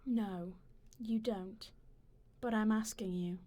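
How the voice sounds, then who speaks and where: A woman playing a wronged older wife in a radio play, dignified and bitter, with a measured, probing, accusing delivery. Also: medium pitch, fairly even intonation, dark tone, lightly acted.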